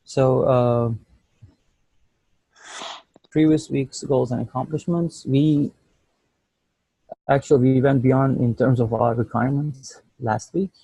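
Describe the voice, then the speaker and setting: A man giving an informal project update on a video call, speaking in a hesitant, halting way. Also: mid-range voice, steady tone, gravelly, dark tone, husky, no room sound, poor audio. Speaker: student developer presenting a team project check-in. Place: indoors at home, on a video call.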